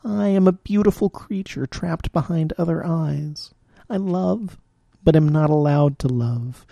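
A lonely enchanted frog from a storybook, gentle and earnest, pleading softly, as a male reader puts him on. The voice is dark and gravelly, with a husky edge. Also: mid-range voice, no room sound, dull audio, full character voice.

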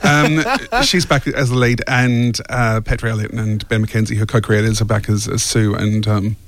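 A man's voice: lowish voice, normal speed, no echo. A middle-aged man with an Australian accent, chatting casually as an interview guest. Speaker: guest, audio drama creator. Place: radio studio.

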